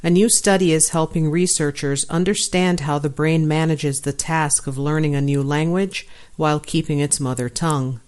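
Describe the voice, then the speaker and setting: A voice reading a science news article aloud in an even, neutral, scripted way. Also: medium pitch, smooth. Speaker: article audio narrator.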